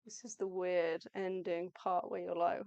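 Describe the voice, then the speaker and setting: A woman co-hosting an art livestream in a chatty, upbeat, rambling way, her voice going slightly hoarse. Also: lowish voice, takes it slowly, darkish tone. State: losing her voice. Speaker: livestream co-host, artist. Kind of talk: casual live chat.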